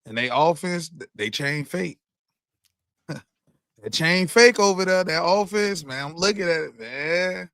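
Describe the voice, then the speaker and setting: A man with a fairly high voice trading sports banter, heavy on slang and quick laughs. His sound is close and dry. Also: animated, a bit bright, no room sound. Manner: slangy, laughs a lot, says "you know what I'm saying". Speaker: guest host of another show.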